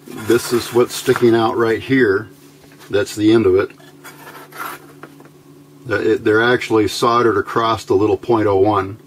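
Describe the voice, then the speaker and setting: Low-voiced man, halting, with background noise. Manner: halting, informal, thinking aloud. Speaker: electronics hobbyist demonstrating a homebuilt circuit. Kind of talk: unscripted hands-on tutorial. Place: indoors at a workbench. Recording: amateur recording with clear background noise.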